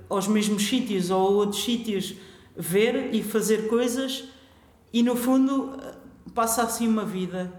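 A woman from Portugal with a European Portuguese accent, a guest talking about her travels in a relaxed, thoughtful interview. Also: medium pitch. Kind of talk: casual interview chat. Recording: echoey room with long reverb.

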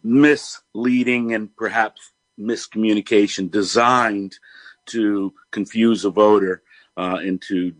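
A man co-hosting a radio talk show, explaining in a measured, wry, conversational way. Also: fairly low pitch, fairly even intonation, dry recording. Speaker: radio co-host.

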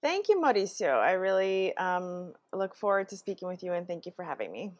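A woman raised in the US and born in Brazil, a guest answering interview questions thoughtfully, with frequent pauses and restarts. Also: fairly low pitch, sing-song, dry recording.

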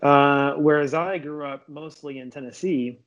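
A man's voice: fairly high pitch, fairly even intonation, a little slow, dull audio. A man in his late thirties, born in Lebanon, talking as an interview guest in a casual, rambling way, restarting and correcting himself. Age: late 30s. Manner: rambling, restarts mid-sentence, self-correcting.

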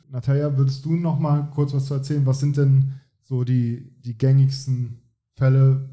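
A man's voice: mid-range voice, dark tone. A man hosting a podcast, talking in long rambling sentences with a frequent tag "ne?". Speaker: podcast host. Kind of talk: casual interview chat.

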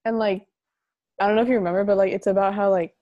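A young woman around twenty, co-hosting a podcast, chatting casually and quickly with frequent laughs. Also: medium pitch, fairly even intonation, dull audio. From United States. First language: Punjabi. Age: 20s. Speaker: podcast co-host. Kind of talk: casual chat.

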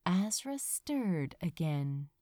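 A woman narrating a written story as an audiobook reader, in an expressive, measured storytelling manner. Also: on the low side, no room sound, studio quality. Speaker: fan fiction audiobook reader.

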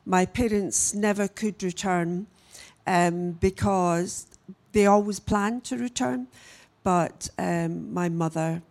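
A woman, giving a church message as a missionary and telling her story in a halting, unhurried way with frequent pauses. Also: fairly low pitch, gravelly, darkish tone. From Scotland. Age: 60s. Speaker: guest speaker, missionary. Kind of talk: church message, personal testimony.

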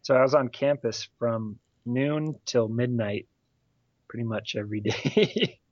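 A man's voice: mid-range voice, a little slow, darkish tone, no echo, highs cut off. A man in his forties telling stories from his student days and asking questions, often laughing mid-sentence. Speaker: podcast co-host asking questions. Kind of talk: casual chat.

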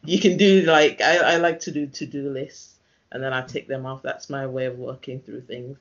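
Male interview host, mid-pitched, casual and tentative, meandering delivery, slightly muffled lo-fi audio. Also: fairly even intonation, normal speed, a bit bright. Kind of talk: casual interview, reflective questions.

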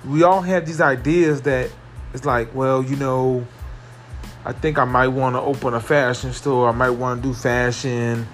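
A man hosting his own podcast, talking earnestly and repetitively about positivity, with a carrying voice that rises into excited emphasis. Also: medium pitch, unhurried, faint music behind. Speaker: podcast host. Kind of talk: motivational solo podcast monologue.

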